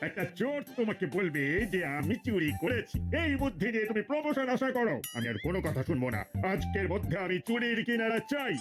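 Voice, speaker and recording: High-voiced sarcastic police boss. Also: male voice, very animated, fast talker, few pauses, over music. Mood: sarcastic, impatient. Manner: mocking, bossy. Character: stern, sarcastic male police superior.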